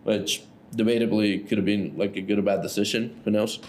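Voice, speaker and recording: A man's voice: lowish voice, fairly quick, a little hiss or hum, poor audio. A man in his thirties from Ecuador, telling his story as a podcast guest in a loose, casual way full of "like" and "right?". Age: 30s. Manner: relaxed, loose, lots of "like" and "right?".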